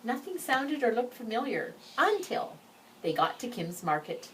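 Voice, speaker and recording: A woman's voice: a little high, a little slow. A woman reading a children's picture book aloud expressively, adding brief asides. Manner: expressive, acts out the dialogue. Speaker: story reader. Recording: distant microphone, background noise.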